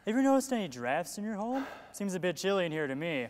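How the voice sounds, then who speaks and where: A man's voice: high-pitched, sing-song, quick pace. An actor playing a relentlessly upbeat, pushy salesman of the future in a comic stage play, full of glib patter. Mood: chirpy, pushy. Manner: glib sales patter, talks over objections. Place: theater stage at a play festival. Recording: echoey stage hall, noticeable hiss.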